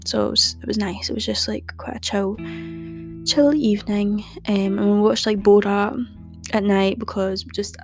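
A young woman hosting a podcast, chatting casually in a soft, calming voice and rambling with lots of fillers. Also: mid-range voice, moderate pace, soft background music. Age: young adult. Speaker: podcast host.

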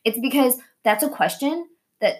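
A woman's voice: sits high, expressive, normal speed. A woman hosting a podcast in casual, candid conversation, blunt and swearing freely, acting out imagined dialogue as she talks. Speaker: podcast host.

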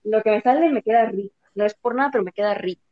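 A woman's voice: medium pitch, fast talker. A young adult woman, chatting casually as a podcast co-host. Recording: dry, dull lo-fi audio.

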